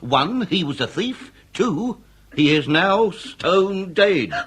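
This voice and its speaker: Pompous, swooping Victorian police inspector. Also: male voice, high voice, very animated, fairly bright tone, breathy edge, full character voice. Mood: probing, emphatic. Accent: English. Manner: theatrical, orotund, drawn-out emphasis with occasional stammer. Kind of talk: period comedy radio drama acting.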